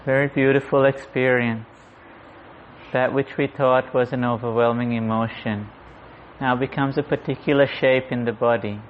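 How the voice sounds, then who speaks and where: A man guiding a meditation in a gentle, unhurried manner, leaving long pauses. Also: mid-range voice, steady tone. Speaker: meditation guide. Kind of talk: guided meditation. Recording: muffled audio with clear background noise.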